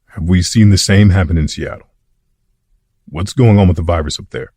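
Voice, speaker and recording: Man acting a very deep-voiced, grave President. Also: normal speed, warm tone. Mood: alarmed, grave. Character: grave, secretive male President of the United States. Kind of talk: audio drama acting, briefing and formal speech. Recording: echoey, distant, faint noise.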